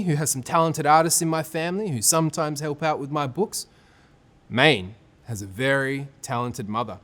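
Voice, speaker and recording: Animated Australian man reading to children. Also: mid-range voice. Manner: expressive, clear phrasing for children. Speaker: storytime presenter and reader.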